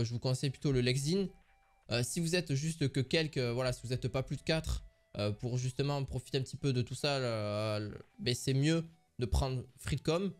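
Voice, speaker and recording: Male product reviewer, casual and halting, mid-range voice over quiet background music. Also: mid-range voice. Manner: hesitant, many filler sounds and restarts.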